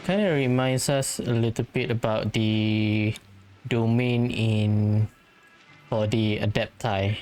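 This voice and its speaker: A man's voice: on the low side, steady tone, slow pace, darkish tone, faint music behind. A man giving casual gameplay commentary, musing aloud in a chatty way to his viewers. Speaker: gaming streamer.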